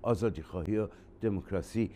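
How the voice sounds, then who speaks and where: Low, mellow-voiced man, halting. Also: a little hiss or hum. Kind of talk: considered interview talk.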